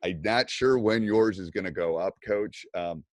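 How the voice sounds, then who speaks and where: A man's voice: sits low, a little slow, no room sound. Middle-aged male coach as host, chatty and loose, dry close mic. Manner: rambling, lots of fillers and restarts. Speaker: basketball coach and podcast host. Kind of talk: casual video-call interview.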